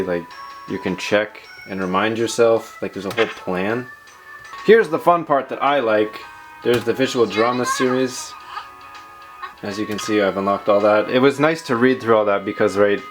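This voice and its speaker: A man hosting a casual tech show-and-tell, talking informally in rambling asides. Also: on the low side. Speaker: tech video host.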